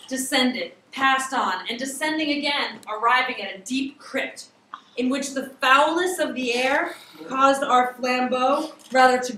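High-pitched woman reading dramatically, echoey room. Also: pushed and bright. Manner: theatrical, emphatic, with drawn-out words. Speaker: teacher reading aloud to a class. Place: classroom, indoors. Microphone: far camera across the room. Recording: distant, echoey classroom recording with noise.

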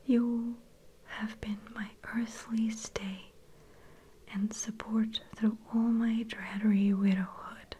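A woman voicing a gentle, pleading widowed mother in a soft whisper, reading a storybook aloud. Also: fairly high pitch, little pitch movement, slow, slight background noise.

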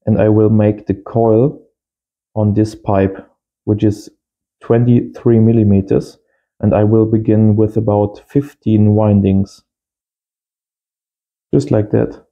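A man's voice: fairly low pitch, level tone, slow, long pauses, muffled recording. A man in his thirties giving a casual hands-on tutorial as host, in simple short phrases. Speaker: host of amateur radio channel. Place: small indoor home workshop.

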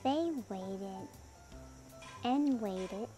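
Female storybook narrator, medium pitch, unhurried, expressive read-aloud over background music. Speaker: children's book reader. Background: music under the voice.

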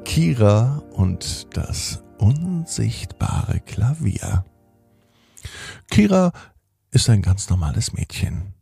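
A middle-aged man hosting a children's podcast, telling a bedtime story in a slow, soothing, gently animated way. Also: medium pitch, vocal fry, slightly rough, no room sound, soft background music.

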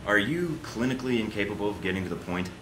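Guy as a cool cocky young spy in a game, low voice, dry and curt. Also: lively intonation, fast, over music, close to an everyday voice. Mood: irritated, threatening. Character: cool, terse, cocky young male secret agent. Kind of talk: video game cutscene acting.

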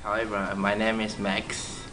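Young man from Taiwan, low even voice. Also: normal speed, over music. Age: young adult. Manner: simple English with restarts. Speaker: student.

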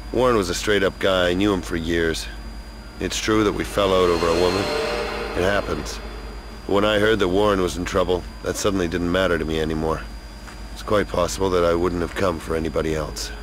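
A man's voice: deep voice, fairly even intonation, fairly quick, background noise, natural voice. A man playing a blunt, tough, loyal action hero in a video game cutscene. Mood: grim. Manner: blunt, gritty, swearing.

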